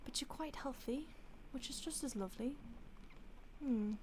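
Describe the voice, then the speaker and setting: Lady doing a whispery vampire gal, teasing and polite while she plans to feed, bit high, faint hiss behind. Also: moderate pace, natural voice. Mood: menacing relish. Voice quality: soft, often whispered. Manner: teasing, mock-polite, savoring. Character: polite, predatory female vampire toying with a captive. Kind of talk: audio roleplay.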